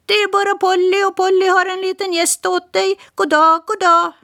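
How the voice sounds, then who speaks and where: A woman voicing a chatty female parrot with a very high, shrill squawking voice that repeats its greetings. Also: little pitch movement, pushed and bright, heavily acted. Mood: chirpy.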